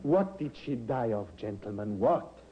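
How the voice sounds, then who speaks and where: A man's voice: mid-range voice, animated, a little slow, slightly rough. An actor, a man, playing a bitter, overbearing young widower in a 1950s radio drama. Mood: angry, demanding. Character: bitter, overbearing husband bereaved of his young wife. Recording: muffled old radio recording.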